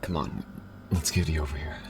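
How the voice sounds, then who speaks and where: A man playing a gruff, devoted alien smuggler in an intimate audio roleplay, speaking haltingly, tenderly and often hushed, with a deep gravelly voice. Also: steady tone, noisy background. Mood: relieved, determined.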